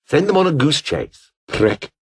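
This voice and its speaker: Haughty British rebel officer, swooping intonation. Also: male voice, a little high, very animated, measured pace, husky, heavily acted. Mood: scornful. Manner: pompous, sneering, clipped formal phrasing. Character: haughty, bitter, scheming fallen-aristocrat rebel officer. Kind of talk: video game character dialogue.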